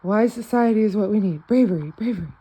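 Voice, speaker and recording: A woman's voice: medium pitch, quick pace, warm tone, breathy edge. A woman rambling casually in a spiritual vlog, repeating herself and restarting often, speaking German with an American accent. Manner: switches between English and German. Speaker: self-described novice clairvoyant.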